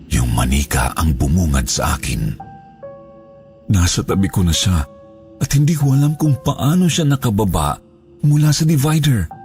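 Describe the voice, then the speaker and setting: A man with a Filipino accent narrating a scripted first-person horror story in a dramatic, deliberate way. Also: fairly low pitch, a little mellow. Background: background music.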